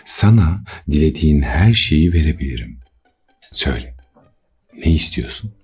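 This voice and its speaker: A man's voice: a bass voice, big pitch swings, moderate pace, a little mellow, soft background music, heavily acted. A man voicing a magical golden fish in a storybook, pleading and generous. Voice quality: thin but clear. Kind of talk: storybook character voice.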